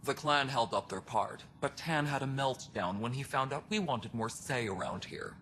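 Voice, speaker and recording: Male actor as gruff alien superintendent, blunt, sardonic, world-weary, game cutscene, faint background noise. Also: mid-range voice, normal speed, fairly bright tone, natural voice. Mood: blunt, wry. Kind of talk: video game cutscene dialogue.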